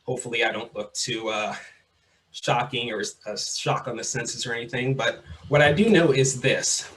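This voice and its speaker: A man's voice: mid-range voice, normal speed, slight background noise. Chatty young man hosting a podcast. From Mississippi. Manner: relaxed, chatty, repeats phrases. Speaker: podcast host. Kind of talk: casual interview.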